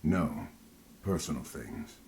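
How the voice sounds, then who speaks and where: A man playing a brooding, guarded character in a video game, speaking gravely and formally in dramatic dialogue. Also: rumbling low, very animated, takes it slowly, darkish tone, roomy sound, a little hiss or hum, exaggerated voice. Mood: guarded, resolute. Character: brooding, guarded man haunted by his disgraced past.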